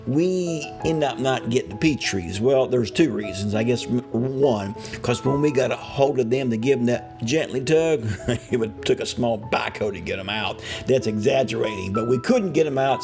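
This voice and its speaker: An older man from West Virginia with an Appalachian accent, telling a true story casually in a folksy, wry way. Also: medium pitch. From West Virginia, USA. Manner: folksy, wry, chuckling asides. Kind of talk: casual first-person storytelling. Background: music.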